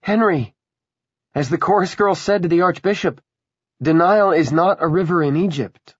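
A man's voice: a little high, moderate pace, dry recording, clean studio sound, voice pushed up, lightly acted. A man voicing a fierce, impassioned young dead woman in an audiobook, emphatic and pleading. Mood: taunting, scornful.

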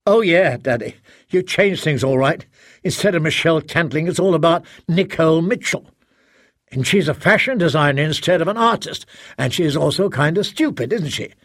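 A man's voice: fairly high pitch, animated, moderate pace, no room sound, clean studio sound. A man voicing an anguished young adult daughter, strained and accusing, in an audiobook reading. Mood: bitter, furious. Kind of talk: audiobook character acting.

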